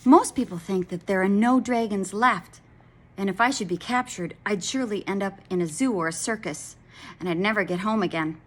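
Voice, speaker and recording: A woman's voice: medium pitch, moderate pace, lightly acted. A woman voicing a small, worried male baby dragon in a storybook, speaking eagerly and earnestly.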